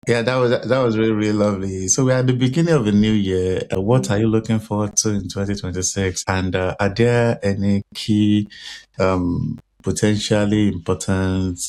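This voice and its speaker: Deep-voiced male podcast host. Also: steady tone, normal speed, a little mellow. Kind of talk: interview questions.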